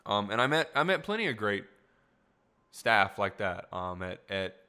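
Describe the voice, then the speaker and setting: A man's voice: lowish voice, very animated, a little slow, sharp tone, clean tone. A young man hosting a solo podcast, rambling with restarts and asides, his voice getting tired. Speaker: podcast host; music teacher. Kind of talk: casual solo podcast talk.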